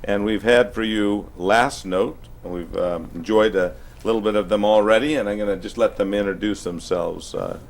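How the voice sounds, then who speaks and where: A man hosting a music show, interviewing guests in a casual, chatty way with halting, rambling questions. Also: on the low side, vocal fry.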